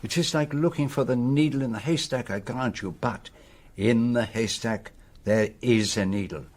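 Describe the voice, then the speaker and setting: An actor playing a cerebral, self-assured Belgian detective in a radio play, speaking precisely and formally with a French-speaking Belgian accent. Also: male voice, mid-range voice, a little mellow, lightly acted. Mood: measured, self-assured. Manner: precise, deliberate, formal phrasing. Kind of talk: radio drama acting.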